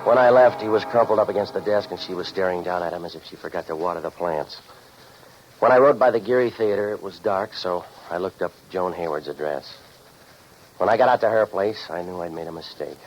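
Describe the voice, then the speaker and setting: Man playing hard-boiled sardonic detective, very low voice, deadpan wisecracks, muffled noisy old radio recording. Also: a little fast, natural voice. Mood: dry, sardonic. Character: cynical hard-boiled private investigator, wisecracking loner. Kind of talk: 1940s radio detective drama, scripted narration and dialogue.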